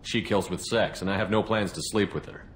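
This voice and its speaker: Steady military investigator, deep voice, terse and calm, businesslike, sci-fi game, faint noise. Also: male voice, fairly quick. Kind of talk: video game voice acting.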